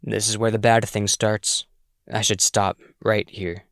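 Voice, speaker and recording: A man's voice: on the low side, little pitch movement, a little slow, a bit bright, no room sound. A man plays a troubled ghost boy in a script table read. He is sullen and resentful, with an angry, bitter edge. The sound is close and dry. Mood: weary, afraid. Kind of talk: screenplay table read acting.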